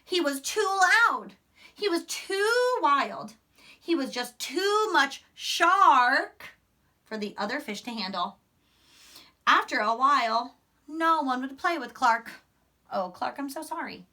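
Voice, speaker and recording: A woman's voice: high voice, big pitch swings, slow pace, sharp tone. A young woman teacher reading aloud to children expressively, with chatty asides, in a classroom. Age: young adult. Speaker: primary school teacher. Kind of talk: animated children's read-aloud. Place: small indoor classroom.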